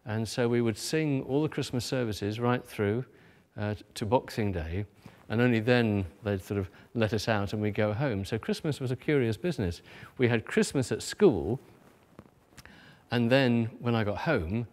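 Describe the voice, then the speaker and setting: A man's voice: mid-range voice, normal speed, a little mellow. A man thoughtfully recalling Christmases as a boarding chorister. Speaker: interviewee.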